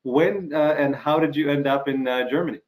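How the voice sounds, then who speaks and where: A middle-aged man from Ukraine hosting a casual interview over a video call, talking haltingly with many fillers and restarts. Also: fairly high pitch. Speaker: interview host.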